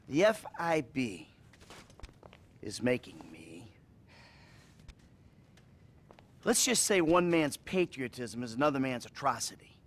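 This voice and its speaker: Wry, troubled American criminal, animated pitch. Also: male voice, slight background noise. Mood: bitter, weary. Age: middle-aged. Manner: halting, wry, profane. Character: troubled middle-aged male criminal, evasive, foul-mouthed, self-loathing. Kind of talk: video game cutscene acting.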